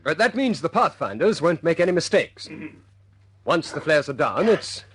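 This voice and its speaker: An actor playing a composed bomber squadron leader in a wartime radio drama, clipped and military in manner, with a slightly husky voice. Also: male voice, on the high side, sing-song, normal speed, a bit bright, slight background noise. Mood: businesslike briefing. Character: brisk British bomber squadron leader, adult man, composed.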